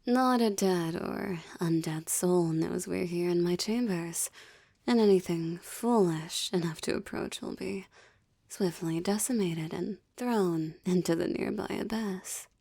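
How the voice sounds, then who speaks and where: Woman playing a low, slow, seductive succubus demoness. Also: mellow. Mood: smug, theatrical. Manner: teasing, haughty, theatrical, often dropping into breathy whispers. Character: seductive, gloating succubus demoness toying with a captive. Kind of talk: intimate roleplay audio drama monologue.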